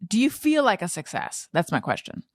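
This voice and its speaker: A woman's voice: mid-range voice, huge pitch swings, a little fast, no room sound, clean studio sound. A woman hosting a podcast, speaking in a casual conversational interview style. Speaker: podcast host.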